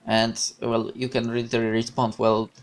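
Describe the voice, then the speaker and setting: A man's voice: medium pitch, animated, normal speed. A man reading a visual novel aloud in the first person and breaking off for hesitant, rambling asides full of fillers. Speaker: game streamer.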